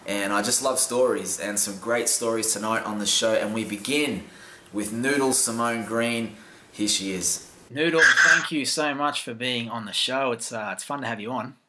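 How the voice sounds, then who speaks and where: A man's voice: mid-range voice, moderate pace, background noise. An Australian man hosting a television interview show, chatty and friendly, sometimes repeating words as he asks questions. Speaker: TV show host.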